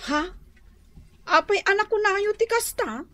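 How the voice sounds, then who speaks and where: High, animated Filipino wife. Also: female voice, measured pace, no echo, full character voice. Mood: questioning. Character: expressive, questioning young Filipino wife. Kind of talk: radio comedy drama acting.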